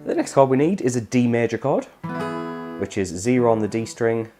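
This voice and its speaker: A man, hoarse from a cold, explaining guitar chords step by step in a casual tutorial. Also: medium pitch, lively intonation, moderate pace. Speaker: guitar teacher.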